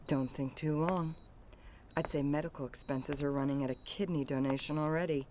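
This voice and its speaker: An actress playing a poised, vengeful young heiress in a video game, cool and dry in delivery. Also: female voice, sits low, fairly even intonation, normal speed, darkish tone, slight background noise, natural voice. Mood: wry, businesslike. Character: poised, sardonic, vengeful young heiress, murdered man's daughter. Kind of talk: video game cutscene acting.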